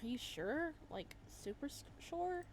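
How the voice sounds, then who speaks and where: A woman's voice: high-pitched, sing-song, measured pace, slight background noise, pitched above the performer's own voice. A woman voicing a friendly, caring young gym worker in a visual novel, with a casual, considerate manner. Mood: friendly, concerned.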